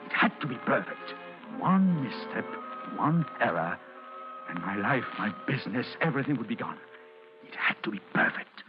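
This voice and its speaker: A man's voice: mid-range voice, big pitch swings, normal speed, close to an everyday voice. An actor playing a frightened middle-aged businessman who fears arrest, narrating his own story in 1940s radio drama style. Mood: brooding. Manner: tense, anxious inner monologue. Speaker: narrator and lead character. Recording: muffled old radio broadcast with music.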